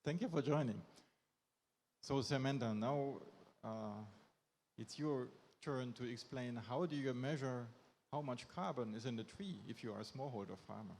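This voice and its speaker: A man's voice: mid-range voice, moderate pace, creaky, darkish tone, slight background noise. A man presenting live on a conference stage, upbeat and coaxing audience volunteers up front.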